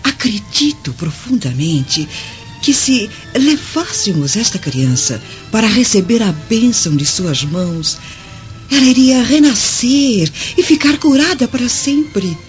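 Casting: kind handmaid of ancient Rome, reverent and fervent, slow with sweeping intonation, radio play. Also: female voice, on the high side, sing-song. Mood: fervent, hopeful. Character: devout, kind Roman servant woman, hopeful. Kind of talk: radio drama acting. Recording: muffled radio drama with music.

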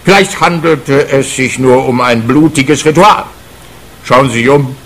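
Sharp, officious policeman. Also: mid-range voice, a little slow. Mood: grave, matter-of-fact. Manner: officious, dry. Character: brusque, bureaucratic male police detective. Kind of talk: comic radio play dialogue.

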